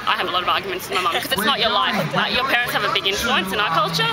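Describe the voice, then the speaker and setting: A woman's voice: mid-range voice, lively intonation, racing. A woman, speaking candidly and chattily in a street interview. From Australia. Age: 20s. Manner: candid, chatty, laughs between points. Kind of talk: vox pop interview. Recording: loud crowd and music outdoors.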